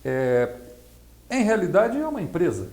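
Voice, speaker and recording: Gravelly middle-aged man explaining. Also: high voice, wildly swooping pitch, darkish tone. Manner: halting, didactic, frequent tag questions. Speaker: interview guest, accountant and nonprofit consultant. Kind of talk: explanatory interview talk.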